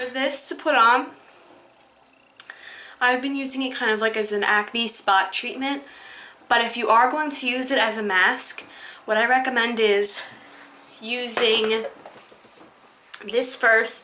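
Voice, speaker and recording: A young woman talks through a skincare routine in a casual, rambling way, full of ums and likes. Her voice sits a bit high and sounds crisp and bright, with a slight hoarseness left over from being sick. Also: steady tone, a little slow, pauses often, slight background noise. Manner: chatty, demonstrating while talking, many ums and likes. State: slightly hoarse, recovering from illness. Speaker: beauty vlogger.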